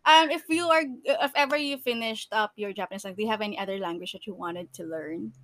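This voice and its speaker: A woman's voice: mid-range voice, quick pace, fairly bright tone, dry recording. A woman from the Philippines with a Filipino accent, co-hosting a podcast in casual chat, laughing often and restarting her sentences. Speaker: podcast co-host.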